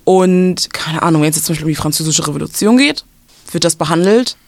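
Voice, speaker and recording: A woman's voice: deep voice, expressive, fast talker, a little mellow, no echo. A young woman from western Germany giving casual school advice, explaining at length with many fillers and restarts.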